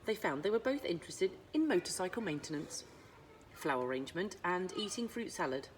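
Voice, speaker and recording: A woman narrating a children's storybook as a librarian would, with dramatic pauses and emphasis. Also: lowish voice, expressive, slight background noise. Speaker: school librarian reading aloud.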